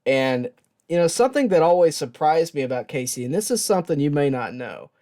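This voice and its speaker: A man hosting a sports show, giving a personal tribute in a reflective, earnest and halting way with pauses. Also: on the high side, big pitch swings, warm tone. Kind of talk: personal tribute talk to camera.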